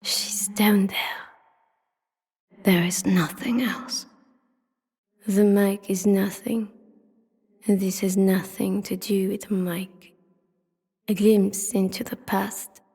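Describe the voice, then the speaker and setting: A woman voicing an ancient, mysterious city spirit in a game, breathy and half-whispered, slow and solemn, in long cavernous reverb. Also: medium pitch, full character voice. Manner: slow, flat, otherworldly.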